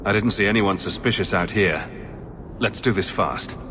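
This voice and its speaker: Guy as a terse mercenary hero in a fantasy game, lowish voice, big reverb. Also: normal speed, lightly acted. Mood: businesslike. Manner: terse, direct. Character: pragmatic mercenary adventurer, direct and businesslike. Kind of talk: dramatic video game voice acting. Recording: reverberant hall, clear background noise.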